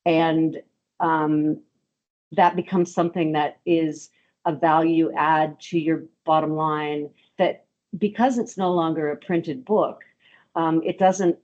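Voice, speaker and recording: A woman's voice: deep voice, a little mellow, muffled recording. A woman hosting a fundraising webinar over a video call, speaking with frequent ums and restarts. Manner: hesitant, many ums and restarts. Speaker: webinar host. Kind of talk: webinar presentation.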